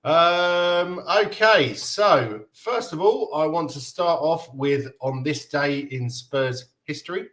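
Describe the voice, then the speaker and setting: A man's voice: on the high side, slow pace, few pauses, a bit bright. A man from Denmark in his thirties hosting a casual fan live stream, chatting loosely and reading viewer comments aloud with frequent laughs. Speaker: fan livestream host.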